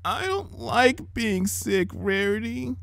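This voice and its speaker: A man's voice: high voice, very animated, vocal fry, fairly bright tone, dry recording, studio quality, voice pushed up, exaggerated voice. A man voicing a sick little pony filly, a younger sister who sounds weak, pitiful and trusting, in a read-aloud story. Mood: miserable, weak. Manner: weak, pathetic, slow.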